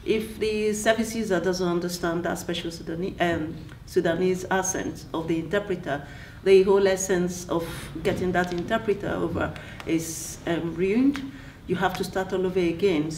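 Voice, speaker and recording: A woman's voice: lowish voice, steady tone, moderate pace, darkish tone, echoey room, far from the mic, slight background noise, low-quality recording. A woman speaking earnestly in a panel discussion, with small ums.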